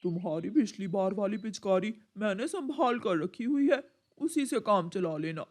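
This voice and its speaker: Cartoon crow father, short-tempered, gruff, lowered pitch, dismissive, acted by a woman. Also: expressive, normal speed, darkish tone, close to an everyday voice. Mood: grumpy, dismissive.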